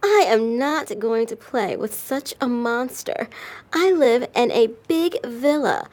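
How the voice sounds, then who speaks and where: A man voicing a vain, spoiled female kitten in a storybook for learners, talking in a haughty, drawn-out sneer. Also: extremely high pitch, animated, darkish tone, voice pushed up, heavily acted.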